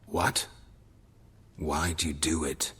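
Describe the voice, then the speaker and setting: An actor playing a stoic, weathered monster hunter in a video game, terse and deadpan. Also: male voice, very deep voice, slow pace, slightly rough, heavily acted. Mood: probing. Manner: terse, deadpan, matter-of-fact. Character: stoic, weathered male monster hunter, methodical and world-weary. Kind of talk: video game cutscene acting. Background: loud music.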